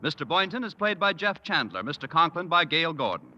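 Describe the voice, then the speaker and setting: A man's voice: on the high side, big pitch swings, a little fast, sharp tone, highs cut off. A man announcing and reading commercials for a 1950s network radio comedy in a projecting, salesy manner.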